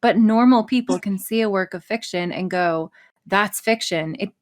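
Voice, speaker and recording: A woman speaks in a casual, conversational way at a middle pitch, as a guest on a podcast. She is blunt and swears freely, with a joking edge to the way she makes her points. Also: normal speed. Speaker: podcast guest, audio writer and performer. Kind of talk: casual interview chat.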